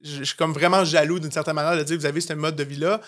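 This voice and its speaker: A young man with a Quebec French accent speaks in a slightly high voice at a rapid clip. He is informal and emphatic, tossing English slang into casual podcast conversation. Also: steady tone, a bit bright. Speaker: podcast guest, real estate agency owner.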